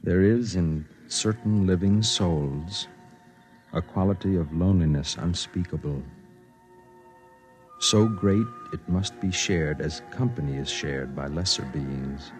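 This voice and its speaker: A man acting a lonely, gentle man in 1950s American radio drama, speaking in a measured, earnest, tender way. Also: low voice. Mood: tender, wistful. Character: lonely, gentle man narrating and playing himself. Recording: vintage 1950s radio with background music.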